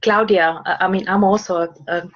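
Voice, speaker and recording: A woman's voice: on the low side, fairly even intonation, brisk. A woman from Austria hosting an online networking call, laughing and bantering with her guests. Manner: giggly, friendly banter. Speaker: host. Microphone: video call. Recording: muffled video call audio.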